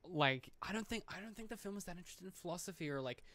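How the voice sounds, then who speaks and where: A man co-hosting a podcast, talking casually about film in an animated, opinionated way with some swearing. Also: high-pitched, fast talker, no echo. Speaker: podcast co-host.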